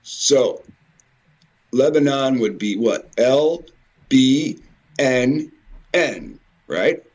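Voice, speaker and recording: A man giving informal, rambling commentary in a halting way, repeating words and swearing mildly now and then. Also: mid-range voice, lively intonation, darkish tone, breathy edge. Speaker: video presenter. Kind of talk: informal rambling commentary over on-screen images.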